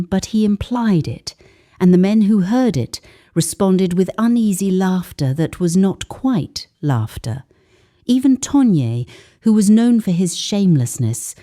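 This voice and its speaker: A woman narrating a scripted moral folktale in a solemn, deliberate way with dramatic pauses. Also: lowish voice, dark tone. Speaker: storyteller.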